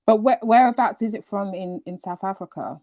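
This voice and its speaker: A woman's voice: mid-range voice, a little fast, warm tone. A woman joining as a call-in participant, asking questions in an inquisitive, polite way. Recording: muffled lo-fi call audio.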